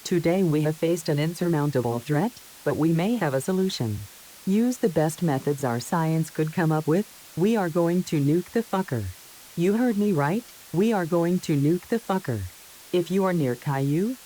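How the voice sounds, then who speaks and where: A woman's voice: low-pitched, moderate pace, low-quality recording. A woman playing a solemn leader who gives a grave, declarative scripted address to a nation in crisis. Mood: grave resolve. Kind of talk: scripted mock emergency address. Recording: faint steady hiss.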